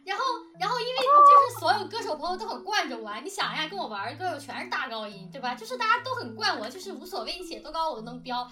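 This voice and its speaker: A woman chatting casually and answering questions in an animated, giggly, talkative way. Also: extremely high pitch, fast, hardly any pauses. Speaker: audio drama planner, lyricist and songwriter. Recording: distant mic at times, music behind. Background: music.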